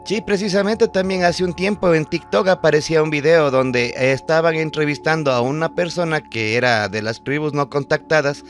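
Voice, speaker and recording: A man's voice: a little high, normal speed, hardly any pauses, soft background music. A man hosting an interview, attentive and giving brief affirmations. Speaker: channel host. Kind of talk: conversational interview.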